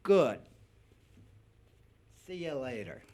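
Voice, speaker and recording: A man's voice: mid-range voice, big pitch swings, drawn-out words, a little mellow. A man playing an exasperated, skeptical husband as the dry straight man in a 1940s-style radio comedy. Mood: dry, firm. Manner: dry, incredulous.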